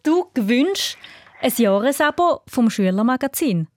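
A woman's voice: high-pitched, sing-song, a little slow, darkish tone. A woman with a Swiss German accent, chatting encouragingly as the host of a children's radio quiz.

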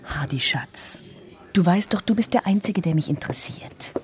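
An actress playing a cool, scheming, unfaithful wife in a radio crime drama, coaxing and bossy, with a very husky, breathy voice. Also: female voice, fairly low pitch, normal speed, whispered, lightly acted. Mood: cool, manipulative.

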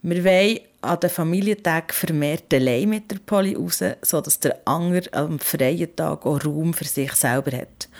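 A woman's voice: low-pitched, moderate pace, few pauses, darkish tone. A woman with a Swiss German accent hosting a podcast about parenting in a candid, colloquial, conversational way.

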